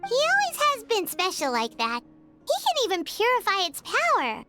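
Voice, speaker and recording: A woman voicing a tiny, excitable, childlike fairy companion with a squeaky voice in a video game. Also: moderate pace, music in the background, full character voice. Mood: proud, helpful.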